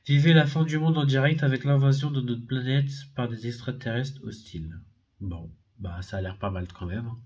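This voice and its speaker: A man's voice: medium pitch, normal speed, a little mellow, dull audio. A man with a head cold, talking casually and hesitantly, with many fillers and self-corrections, as he shows secondhand discs from his collection. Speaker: DVD and Blu-ray collector. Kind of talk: casual unboxing video.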